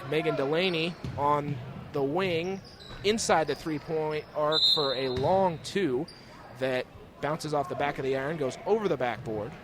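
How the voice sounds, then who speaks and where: A young American man calling high school basketball as a live radio play-by-play announcer. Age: young adult. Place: indoor high school gym, courtside. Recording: noisy gym ambience. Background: bouncing basketballs, gym noise.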